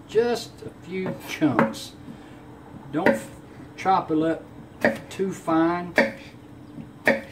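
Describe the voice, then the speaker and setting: A man, a home cook casually talking through a recipe in a folksy, unhurried way. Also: mid-range voice. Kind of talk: casual cooking demonstration. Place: home kitchen, indoors. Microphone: camera on counter a short way off. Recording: clear room noise in a kitchen.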